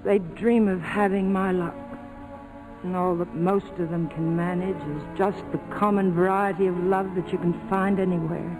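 An actress playing a guilty, worldly young woman torn in love speaks in halting, dramatic phrases. It is an old 1940s radio broadcast, with music playing underneath. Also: on the low side, fairly even intonation, natural voice, low-quality recording. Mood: bitter, resolved.

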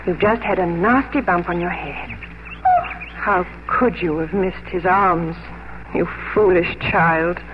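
An actress playing a gentle, sensible English gentlewoman, calm and wistful, in a 1950s radio drama. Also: on the low side, takes it slowly. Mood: calm, firm. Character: gentle, sensible, composed English gentlewoman past her youth. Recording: muffled, noisy old radio broadcast.

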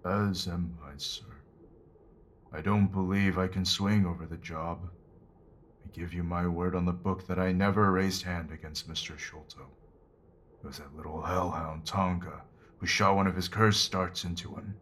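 A deep-voiced, weathered convict played by a man speaks in a period audio drama. He is rueful, earnest and deferential, with rough plain phrasing. Clear ambient noise sits behind his voice. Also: steady tone, moderate pace, a bit bright. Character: weathered middle-aged male convict, rueful and plain-spoken. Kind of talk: audio drama acting of Victorian fiction. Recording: noisy ambient background.

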